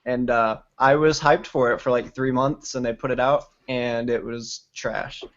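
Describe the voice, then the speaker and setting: A man's voice: mid-range voice, steady tone, a little slow. A man from Texas in casual, slangy gaming chat, talking in a laid-back, rambling way. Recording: dull lo-fi stream audio with noise.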